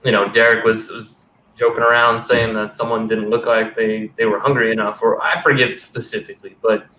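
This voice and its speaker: A man's voice: fairly low pitch, flat delivery, moderate pace, sharp tone. A man in his thirties hosting a casual sports podcast over a video call, talking loosely and rambling, with casual swearing. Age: 30s. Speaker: podcast host. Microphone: headset on a video call. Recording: roomy sound with faint background noise.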